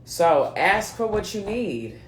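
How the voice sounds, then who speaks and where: A woman's voice: mid-range voice, sing-song, slow pace, a little hiss or hum. A woman of nearly forty with a mixed US East Coast accent reads cards for her viewers. She talks in a loose, slangy, chatty way, addressing them directly. Her voice bounces around an echoey room. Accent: mixed US East Coast (New England, Philadelphia, North Carolina, Delaware). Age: late 30s. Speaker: card reader. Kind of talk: casual spoken card reading for viewers.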